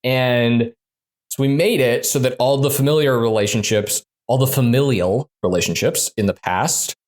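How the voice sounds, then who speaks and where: A man who designs games, talking casually in an interview, full of fillers and quick restarts. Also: medium pitch, a little mellow. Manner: chatty, many fillers and restarts. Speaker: game designer guest.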